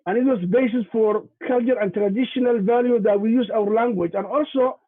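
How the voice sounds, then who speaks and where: A man with a Somali accent, a native Somali speaker, giving a halting presentation over a video call, repeating words and using many fillers. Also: very high voice. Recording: tinny phone-like video call audio.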